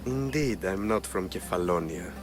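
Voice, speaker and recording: An actor playing a smug, suave, ruthless schemer with a wry, aloof manner, in a game cutscene. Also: male voice, sits low, lively intonation, moderate pace, music in the background. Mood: cool, aloof. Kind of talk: dramatic game cutscene dialogue.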